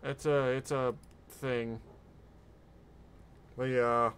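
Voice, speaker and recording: Slow-paced man, casual reaction commentary. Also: mid-range voice, little pitch movement, fairly bright tone, slight background noise. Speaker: VTuber reactor. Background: faint game music.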